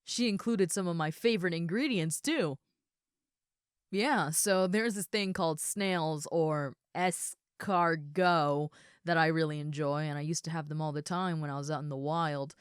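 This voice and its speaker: A woman playing a big, friendly ogre roommate in an audio roleplay, chatting casually and gently to the listener. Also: deep voice, a little slow, clean tone, dry recording, studio quality. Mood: warm, reassuring. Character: huge muscular red female ogre roommate, friendly and easygoing.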